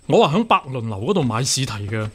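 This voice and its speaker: An actor with a Hong Kong Cantonese accent playing a hot-headed, righteous young court official, earnest and impassioned, in a radio drama. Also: male voice, medium pitch, very animated, fast talker, fairly bright tone, breathy edge. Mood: agitated, earnest.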